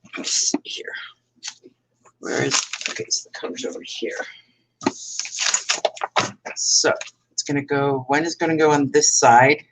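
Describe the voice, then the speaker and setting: Canadian lady chatting thru a craft demo, lowish voice, kinda rambly. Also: fairly even intonation, measured pace, pauses often, very noisy. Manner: rambling, self-correcting while working. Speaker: craft live stream host. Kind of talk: casual craft demo chat.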